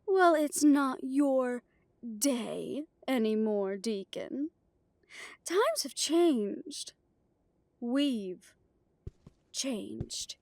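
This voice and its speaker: Woman playing a high-voiced, slow, proud vampire leader. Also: animated, a little mellow, no echo, full character voice. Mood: cold anger. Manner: slow, deliberate, imperious speech. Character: proud, commanding female vampire coven leader. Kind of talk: dramatic audio roleplay.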